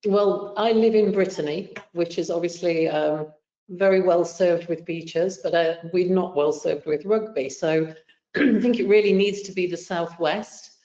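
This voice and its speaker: A woman panelist answering a question in a measured, advising way. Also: lowish voice, warm tone. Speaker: webinar panelist. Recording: roomy, dull call audio.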